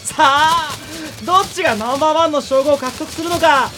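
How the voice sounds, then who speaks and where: High, theatrical anime girl announcer played by a woman. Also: fairly quick, music in the background, lightly acted. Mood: grandiose. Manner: theatrical, teasing, grandiose. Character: gleeful, theatrical schoolgirl broadcast club announcer. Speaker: contest announcer. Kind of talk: mock-dramatic anime radio show announcing.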